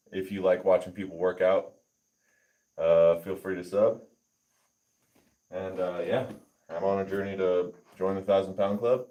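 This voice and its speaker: A man livestreaming his lifting session, chatting casually and haltingly with viewers and reading their comments aloud. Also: low-pitched, dark tone. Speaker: fitness livestreamer. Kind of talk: casual livestream chat while working out.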